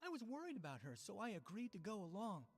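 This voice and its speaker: An actor voicing an earnest young worker in a video game, giving a measured account. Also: mid-range voice, sing-song, long reverb tail. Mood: earnest, concerned. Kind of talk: game voice acting.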